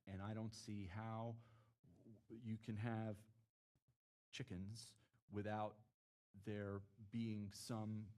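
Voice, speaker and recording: Guy on a city planning board, low voice, keeps restarting his sentences, lifelong local. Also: warm tone. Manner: halting, many restarts, earnest. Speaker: board member. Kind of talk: public meeting discussion. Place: meeting chamber.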